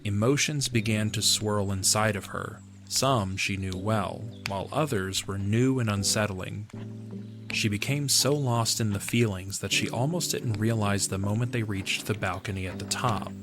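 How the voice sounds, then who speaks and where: A man's voice: on the low side, moderate pace. A man reading scripted narration for a fantasy audio drama. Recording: music under the voice. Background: music.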